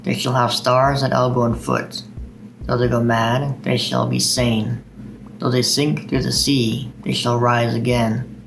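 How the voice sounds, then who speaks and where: A man's voice: mid-range voice, flat delivery, unhurried, gravelly, fairly bright tone, slight background noise, low-quality recording. A man hosting a video of poetry readings. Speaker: video host reading poems.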